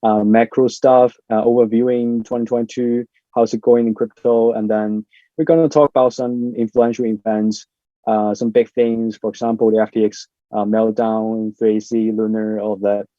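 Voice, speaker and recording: Man, panel host, casual, lots of fillers, warm low voice, dull lo-fi sound. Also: level tone, moderate pace, no room sound. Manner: casual, filler-heavy, upbeat. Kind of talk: podcast panel introductions.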